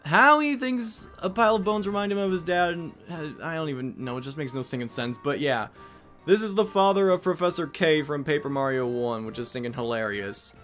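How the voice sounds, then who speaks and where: A man's voice: a little high, lively intonation, fairly bright tone. Rambling, jokey let's play guy. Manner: rambling, jokey, quick asides. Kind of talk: casual gameplay commentary. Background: game music.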